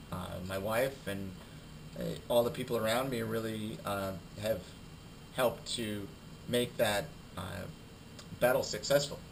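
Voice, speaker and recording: Man with a cold, halting presentation. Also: lowish voice, a little hiss or hum, poor audio. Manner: halting, many fillers and repeated words. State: has a cold, losing his voice. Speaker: student presenter. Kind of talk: recorded class presentation.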